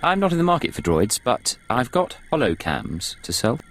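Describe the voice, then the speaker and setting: An actor playing a cool, professional undercover agent in a video game, curt and controlled. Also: male voice, mid-range voice, big pitch swings, moderate pace, a bit bright. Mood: measured.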